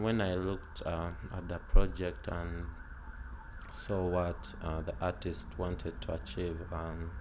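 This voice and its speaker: A man's voice: sits low, noisy background. A man in his late twenties from Uganda, an art student answering interview questions in a hesitant, casual way with many fillers. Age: 20s. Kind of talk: casual oral history interview.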